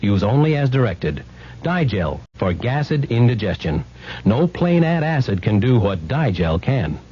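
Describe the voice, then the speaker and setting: A man's voice: mid-range voice, big pitch swings, a little slow, breathy edge. A man reading an explanatory scripted radio commercial as an announcer. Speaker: advertising announcer. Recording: dull old radio recording.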